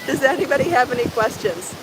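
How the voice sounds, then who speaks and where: A middle-aged woman hosting a casual livestream, rambling enthusiastically and repeating herself, with a slightly hoarse voice. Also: high-pitched, expressive, fast, very noisy. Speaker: show host and crochet book author. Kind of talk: casual livestream chat to viewers. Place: outdoors on a sandy beach by the sea. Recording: outdoor recording with loud ocean surf. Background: waves and surf.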